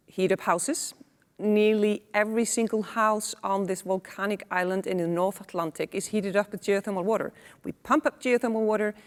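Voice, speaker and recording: Icelandic-born woman moderator, 40s, lapel mic, easy conversational talk, echoey studio tail. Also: mid-range voice. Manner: conversational, with fillers and asides. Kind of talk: moderating a panel discussion. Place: indoor studio set.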